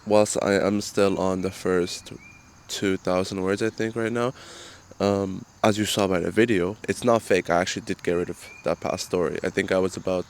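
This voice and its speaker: A man's voice: low voice, slight background noise. A man, chatting casually through a Q and A about his writing. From Ireland. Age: 20s. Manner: relaxed, joking, chatty. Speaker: writer answering fan questions. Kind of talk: casual Q and A vlog.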